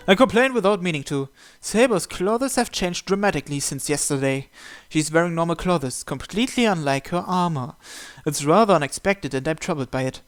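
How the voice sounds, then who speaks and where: Higher-voiced man narrating a visual novel. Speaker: channel host reading visual novel text aloud. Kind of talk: dramatic first-person scripted narration.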